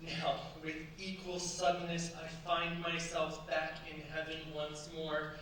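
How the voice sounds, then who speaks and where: Guy acting a flustered french newlywed husband, radio play, highish voice, florid, really echoey stage. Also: completely flat delivery, measured pace, bright, forceful tone. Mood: overwrought. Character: lovesick, frail, melodramatic French bridegroom. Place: theatre stage at a cultural centre. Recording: very echoey stage, distant mic, faint noise.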